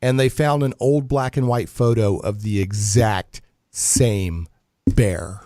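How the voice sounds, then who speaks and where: A man hosting a casual geek-news talk show, chatting in a jokey, animated way with playful emphasis. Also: lowish voice, slow, background noise. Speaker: radio talk show host.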